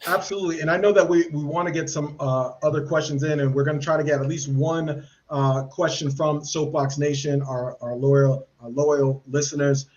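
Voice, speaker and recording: A man's voice: a little high, steady tone, moderate pace, few pauses, a little mellow. Earnest man podcast host, lo-fi sound. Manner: earnest, rambling questions with many fillers and restarts. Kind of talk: live podcast interview.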